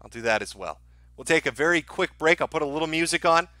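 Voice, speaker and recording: Animated, sardonic man hosting a livestream. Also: on the high side, quick pace, no echo, clean studio sound. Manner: sardonic, mocking, profane. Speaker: livestream host. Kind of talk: casual livestream commentary.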